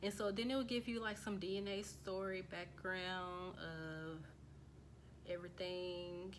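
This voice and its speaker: An American woman with a slightly low voice talks loosely to her viewers, full of "um"s and self-corrections. She reads through results as she goes. A faint hum sits underneath. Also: steady tone, measured pace. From United States. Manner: chatty and loose, with frequent fillers and restarts. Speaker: vlogger. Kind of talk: casual talk to camera while reading results off a screen.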